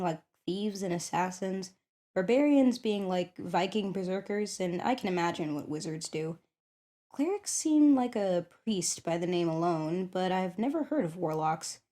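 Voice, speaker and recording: Woman doing an eager kinda shy popular high school girl, soft mellow voice, chatty asmr roleplay, thinks out loud. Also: expressive, moderate pace, lightly acted. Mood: curious. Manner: chatty, hesitant, thinking aloud. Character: shy, eager popular schoolgirl curious about tabletop games. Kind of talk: ASMR roleplay, one-sided conversation.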